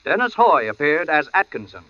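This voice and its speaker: A man announcing a 1940s radio drama series in a formal, measured style. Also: on the high side, big pitch swings. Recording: dull old radio broadcast, music behind.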